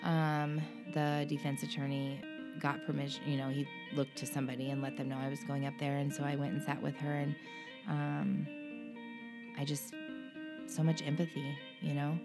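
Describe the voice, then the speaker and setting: A woman guest in an interview, speaking earnestly and emotionally about her own experience, with frequent pauses and restarts. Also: deep voice, over music. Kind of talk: candid personal interview.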